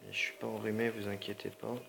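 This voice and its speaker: Guy w a sore throat n stuffy nose rambling thru his game, slangy, lots of sorrys, low gravelly voice. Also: completely flat delivery, fast talker, noisy background. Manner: rambling, slangy, keeps apologizing. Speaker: gaming channel host. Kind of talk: casual gameplay commentary.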